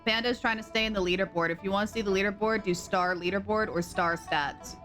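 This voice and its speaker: A young woman with a sore throat chatting casually and excitably on a live stream, scatterbrained and full of gasps. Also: medium pitch, brisk. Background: background music.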